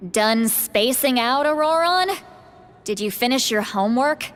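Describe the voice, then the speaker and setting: A woman's voice: a little high, expressive, a little slow, a bit bright. A woman voicing a blunt, haughty but caring old shaman granny in a video game. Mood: teasing, proud. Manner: haughty, offhand, teasing. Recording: long reverb, faint music behind.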